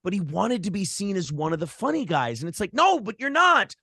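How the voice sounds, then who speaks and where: Blunt, higher-voiced American man. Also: big pitch swings, fairly quick, gravelly, bright, forceful tone, husky, dry recording, studio quality. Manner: blunt, opinionated, profane. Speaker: morning show host and former radio broadcaster. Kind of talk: casual podcast interview.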